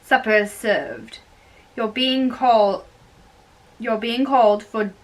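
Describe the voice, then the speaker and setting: Flustered household servant for stage play, read by a woman: high voice, slow halting delivery. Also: low-quality recording. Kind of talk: amateur dramatic reading of a stage play.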